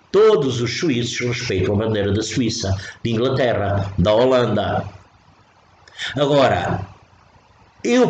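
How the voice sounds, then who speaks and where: A man's voice: medium pitch, a little mellow, muffled recording. A man from Portugal with a European Portuguese accent, giving a spontaneous spiritual talk, emphatic and repetitive, often restarting phrases. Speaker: spiritual preacher. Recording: echoey room with faint noise.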